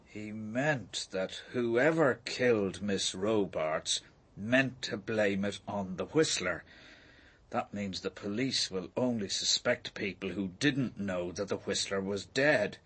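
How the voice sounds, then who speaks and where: An actor in a radio drama playing a cold, evasive father with a guarded, quietly menacing manner. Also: lowish voice, slow, breathy edge, heavily acted.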